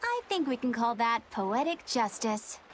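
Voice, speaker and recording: High-voiced prim, serious young woman. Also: very animated, measured pace, a little hiss or hum. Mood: earnest, disapproving. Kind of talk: English anime game dub.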